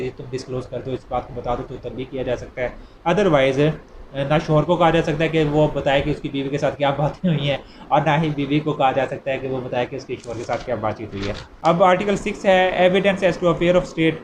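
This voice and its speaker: A man with a Pakistani accent giving an explanatory law lecture, deliberate when reading out and conversational when explaining. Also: a little high, background noise.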